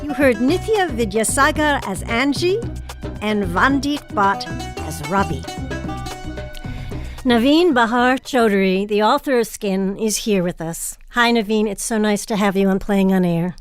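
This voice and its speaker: A woman hosting a public radio show in a polished, measured, articulate way, presenting and interviewing. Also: mid-range voice, over music.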